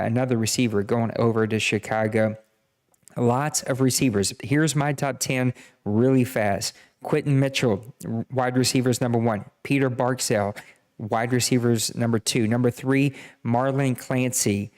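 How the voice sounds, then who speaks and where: A man's voice: medium pitch, steady tone, normal speed, a little mellow. A man hosting a live podcast draft, casually reading out player picks and stats with self-deprecating jokes. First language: Cajun French. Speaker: podcast host running a draft. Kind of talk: casual live-stream commentary.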